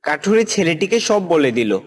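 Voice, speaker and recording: Lively storybook narrator, man. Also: on the high side, normal speed. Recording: music playing behind.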